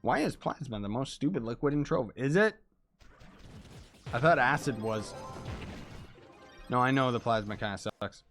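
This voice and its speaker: A young man chatting casually with viewers while he plays a game, hoarse and losing his voice from being sick, talking in an excitable, laid-back way. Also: medium pitch, moderate pace, pauses often, soft background music. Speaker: game streamer. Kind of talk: casual livestream chat while gaming.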